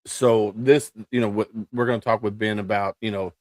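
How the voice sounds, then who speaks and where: A male podcast host with a slightly low voice, recorded close and dry with no room sound. He sounds upbeat and chatty as he walks through the topics, with plenty of fillers. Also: fairly even intonation, moderate pace, darkish tone, dry recording. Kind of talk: casual hosting chat.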